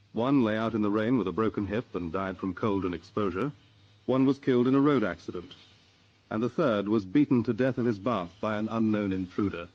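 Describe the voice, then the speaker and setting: A man's voice: lowish voice, dull audio. A man with a British accent reading a scripted introduction as the announcer of a radio drama.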